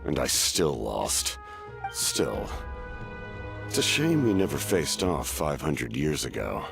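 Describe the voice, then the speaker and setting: An ancient immortal warrior from a video game, with an extremely low, creaky voice. He is grave, proud and honourable, speaking formally as one worn down by centuries. Music plays clearly behind him. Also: big pitch swings, full character voice. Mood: stern, resolute. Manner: measured, solemn, formal.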